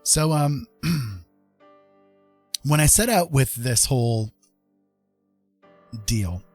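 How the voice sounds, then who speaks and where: A man livestreaming in a casual, rambling and gentle way. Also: medium pitch, lively intonation, very slow, no echo. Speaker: live streamer and artist. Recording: music playing behind the voice. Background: music.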